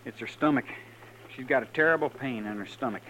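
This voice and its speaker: A man's voice: medium pitch, fairly quick, pushed and bright, natural voice. Man playing an earnest young frontier farm boy. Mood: worried. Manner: simple, folksy, earnest. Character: plain, earnest young rural frontier son. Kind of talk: 1950s western radio drama acting. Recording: noisy old radio recording.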